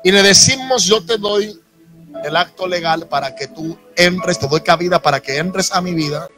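Dominican pastor preaching to his church, kinda high voice, quiet music under it. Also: normal speed. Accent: Dominican Spanish. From Dominican Republic. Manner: preaching with rising emphasis and audience asides. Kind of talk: sermon. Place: church sanctuary with congregation. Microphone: handheld microphone. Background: soft instrumental music.

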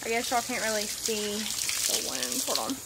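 A woman's voice: mid-range voice, sing-song, moderate pace, heavy background noise. A woman, chatting casually on a handheld camera at an outdoor horse barn, full of "um" and "like". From Southern US. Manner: chatty, filler-heavy, baby talk to her horse. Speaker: vlogger. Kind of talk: casual vlog narration.

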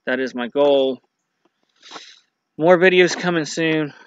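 A man's voice: on the high side, takes it slowly. A man, talking casually and rambling to camera outdoors at dusk as a fishing channel host, with frequent ums and restarts. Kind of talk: casual product review to camera. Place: outdoors in a grassy yard at dusk. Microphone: built-in camera mic at arm's length. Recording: dull lo-fi camera audio with faint noise.

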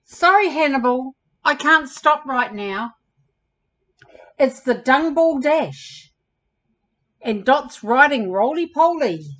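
A woman's voice: high voice, expressive, slow, long pauses, a bit bright, pitched above the performer's own voice, full character voice. A woman voicing a blunt, busy little male dung beetle in a children's storybook, emphatic and matter-of-fact.

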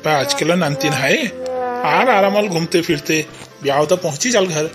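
Grumbling, high-pitched Kumaoni father played by a man. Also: lively intonation, normal speed, a bit bright, soft background music. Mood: fretful. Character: grumbling village father, worried about family honour. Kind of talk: amateur cartoon comedy dialogue.

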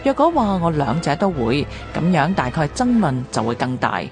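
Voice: medium pitch, very animated, moderate pace. A narrator with a Hong Kong Cantonese accent reading a scripted historical radio narration. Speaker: documentary narrator. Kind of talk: scripted dramatic narration with read quotations. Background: music.

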